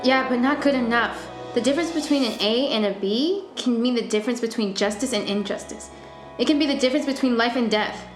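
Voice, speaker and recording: A woman's voice: fairly high pitch, fairly quick, close to an everyday voice. An actress playing a stressed young female student in a radio thriller, guarded, moody and intense, with a blunt, dramatic manner. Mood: upset, intense. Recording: echoey room, prominent music underneath.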